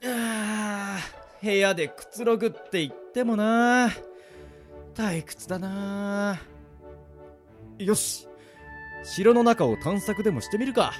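Man as a high-voiced, bewildered young hero. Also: lively intonation, normal speed, faint music behind. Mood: bored. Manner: casual, reacting in puzzlement. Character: bewildered, casual young man summoned to another world. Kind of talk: amateur radio drama acting.

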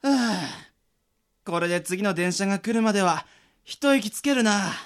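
A man's voice: high-pitched, normal speed, clean tone, close to an everyday voice. A man playing a blunt, sardonic young cake seller in a radio drama. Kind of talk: amateur Japanese radio drama acting.

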